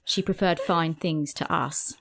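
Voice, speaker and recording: A woman's voice: low voice, steady tone, measured pace, creaky, noisy background. A young woman playing a defiant, streetwise pregnant woman of eighteenth-century London in an audio drama, speaking and narrating frankly. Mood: reflective. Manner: frank, plain-spoken. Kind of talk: audio drama dialogue and first-person narration.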